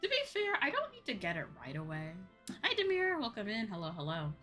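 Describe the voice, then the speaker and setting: A woman's voice: mid-range voice, wildly swooping pitch, brisk, fairly bright tone, soft background music. A woman livestreamer chatting casually to her viewers as she plays, informal and rambling, often repeating herself. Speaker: livestreamer and host.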